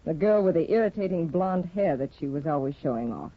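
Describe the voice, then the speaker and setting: Hedda type: icy, commanding, contemptuous; husky, thin 1950s broadcast audio. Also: female voice, deep voice, expressive, fairly quick. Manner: cool, mocking, commanding. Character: Hedda, cold, bored, manipulative young wife. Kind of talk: 1950s radio drama acting. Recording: tinny, phone-like 1950 radio broadcast.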